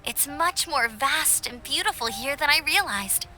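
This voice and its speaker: High, lively, girlish adventurer. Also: unhurried, faint music behind, full character voice. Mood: wistful, admiring. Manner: expressive, casual, girlish. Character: friendly, earnest, upbeat young female adventurer. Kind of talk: scripted game voice acting.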